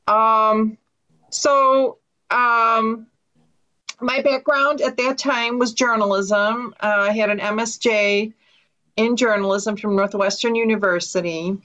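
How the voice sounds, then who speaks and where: A middle-aged woman from Chicago, an author speaking on a panel over a video call from her home study, recounting a personal story in an earnest, steady way. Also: on the high side, low-quality recording. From Chicago, USA. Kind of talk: personal account on a panel talk. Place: home study with bookshelves, indoors.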